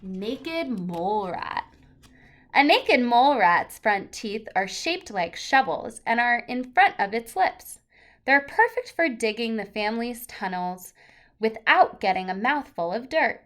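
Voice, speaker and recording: Slow, lively-pitched woman reading to children. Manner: expressive, explanatory, emphasizing key words for young listeners. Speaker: teacher reading aloud to children. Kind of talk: read-aloud of a children's nonfiction picture book with short asides.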